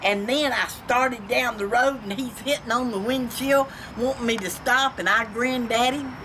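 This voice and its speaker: A woman's voice: on the high side, normal speed. An older woman with a Southern American accent from Georgia tells personal stories casually, in a blunt, folksy, salty-tongued way. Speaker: storytelling grandmother. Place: outdoors on a front porch. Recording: noisy outdoor home video, passing vehicles.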